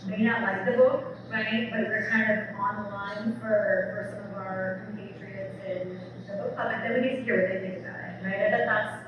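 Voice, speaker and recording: A woman's voice: mid-range voice, steady tone, normal speed, few pauses, lo-fi sound. A woman professor giving an informal, enthusiastic book talk to an audience, chatty, often adding "like" and "right?". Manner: conversational, peppered with "like" and "right?", enthusiastic. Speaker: speaker, English professor. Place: event room with an audience. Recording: very echoey hall, distant mic, noisy.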